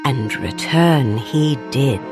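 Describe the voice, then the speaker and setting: Slow, animated woman reading a storybook. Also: a bass voice, over music. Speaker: storybook narrator. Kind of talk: expressive scripted children's story reading.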